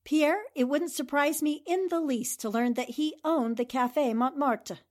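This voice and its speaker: A woman's voice: high-pitched, moderate pace, close to an everyday voice. A woman playing a self-assured, inquisitive newspaper reporter turned detective, articulate and probing, in 1940s radio drama style. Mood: musing.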